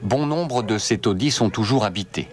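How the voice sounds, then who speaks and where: A man's voice: on the low side, lively intonation, moderate pace. A man reading scripted narration for a documentary.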